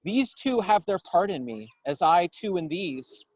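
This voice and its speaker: A man gives a dramatic poetry recitation outdoors, in solemn, declamatory, measured phrases. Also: sits high, very animated, no room sound, lo-fi sound. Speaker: poetry reader. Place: outdoors in a garden.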